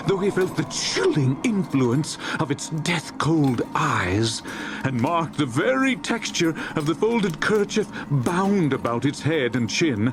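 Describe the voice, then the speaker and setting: A man narrating a dramatized classic story in a theatrical, suspenseful, deliberate way. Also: mid-range voice, background noise.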